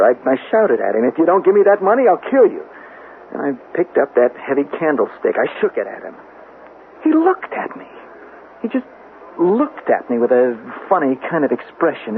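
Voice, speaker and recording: A man's voice: on the high side, sing-song, moderate pace. An actor playing a desperate young man in his twenties accused of murder, stammering and pleading in a 1970s radio drama style. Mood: defensive, bitter. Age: 20s. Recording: noisy phone-like old radio drama recording.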